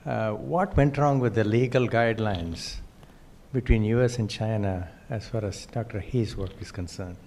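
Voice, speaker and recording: An older man in the audience asks one direct question and hesitates on a few fillers. His voice sits low in pitch. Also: moderate pace, a little mellow, a little hiss or hum. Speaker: audience member, retired neurologist.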